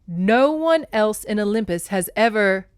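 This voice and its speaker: A woman's voice: mid-range voice, lively intonation, measured pace, clear, resonant tone, close to an everyday voice. A woman voicing an anguished, defiant goddess mother in an emphatic, dramatic way, as in an audiobook.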